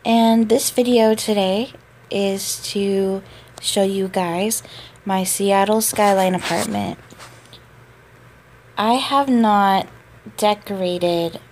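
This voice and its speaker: Sleepy girl showing her game apartment, talks real slow, mid-pitched, little giggles. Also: fairly even intonation, a little mellow, a little hiss or hum. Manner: chatty, drawn-out and slow with little laughs. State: sleepy, just woke up. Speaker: game vlogger giving a tour. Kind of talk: casual voice-over tour.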